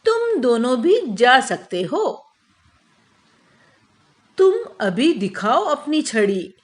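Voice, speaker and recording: Woman playing a high-voiced, clever royal adviser. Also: sing-song, extremely slow, heavily acted. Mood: firm, accusing. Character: clever, self-assured male royal adviser Birbal. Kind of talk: Hindi children's moral cartoon dubbing.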